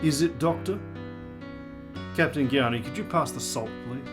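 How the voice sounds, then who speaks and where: Blustery, condescending colonel played by a man, medium pitch, florid period radio drama delivery, clear music bed. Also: normal speed, lightly acted. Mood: dry scorn. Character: blustery, condescending army colonel, genial host at table.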